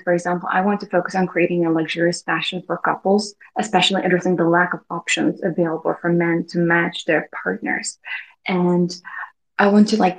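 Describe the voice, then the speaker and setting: A woman's voice: lowish voice, low-quality recording. A woman from the Czech Republic, a guest fashion designer, speaking earnestly with some hesitation. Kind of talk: casual conversation.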